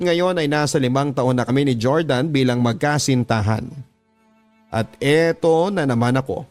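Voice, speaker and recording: A man with a Filipino accent reads a scripted love confession in the first person as a radio drama narrator. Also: medium pitch. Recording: music bed under the voice. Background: background music.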